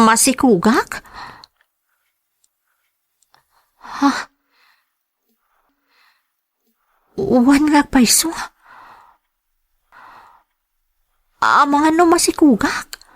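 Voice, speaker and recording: An actress with an Ilocano accent playing a troubled, submissive young wife in a radio drama. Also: female voice, high-pitched, expressive, slow, pauses often, dry recording. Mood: surprised, unsure. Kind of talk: Ilocano radio drama acting and first-person narration.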